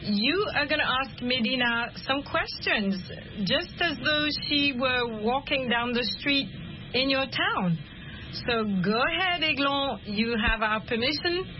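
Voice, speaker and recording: A woman's voice: sits high, expressive, muffled recording, noisy background. A woman hosting a radio chat, guiding callers patiently through a conversation. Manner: encouraging, guiding the callers slowly. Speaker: radio host.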